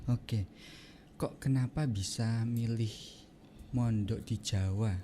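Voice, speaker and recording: A young man hosting a podcast interview, relaxed and chatty, often repeating the guest's answers back. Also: medium pitch, takes it slowly, slight background noise. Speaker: podcast host.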